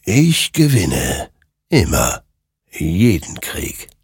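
A man voicing a ghostly male death rider who speaks slowly and solemnly, with a hiss in the words. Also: low-pitched, huge pitch swings, vocal fry, loud music behind the voice, full character voice. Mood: ominous. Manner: slow, hissing, solemn.

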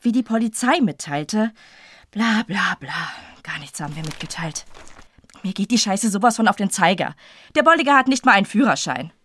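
An exasperated police detective who mocks what she reads. Her voice sits at a middle pitch and sounds dry and close. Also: expressive, a little fast, fairly bright tone, no echo. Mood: annoyed. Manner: sarcastic, exasperated. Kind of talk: radio crime drama acting.

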